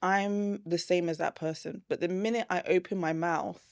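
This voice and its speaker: British woman guest, lower pitch, calm and thoughtful delivery, dry close recording. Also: creaky, smooth, no room sound. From Britain. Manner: measured, reflective, agreeable with soft laughs. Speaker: podcast guest. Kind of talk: casual chat.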